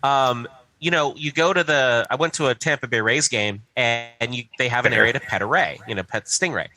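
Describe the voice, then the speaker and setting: A man's voice: medium pitch, brisk, sharp tone, rough recording. An American man from Pittsburgh telling casual anecdotes as a podcast co-host. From Pittsburgh, USA. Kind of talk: casual chat, storytelling.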